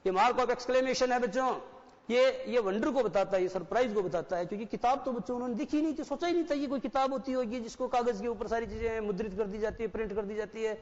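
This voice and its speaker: A man's voice: very high-pitched, fast talker. Man, forties, teacher, mixes two languages, quizzes the class, muffled lo-fi sound. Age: 40s. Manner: explains step by step, asks the class questions; mixes Hindi and English. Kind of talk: classroom lecture. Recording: dull broadcast audio with long reverb.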